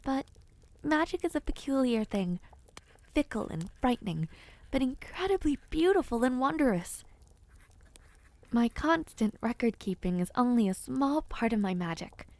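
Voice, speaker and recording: A woman playing a kind, dreamy witch in an audio roleplay, soothing and gently formal, easily sidetracked. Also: on the high side, very animated, measured pace. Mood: wistful. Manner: gentle, soothing, old-fashioned formal phrasing, easily distracted. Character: kind, dreamy peculiar witch, otherworldly and caring. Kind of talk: audio roleplay addressed to the listener.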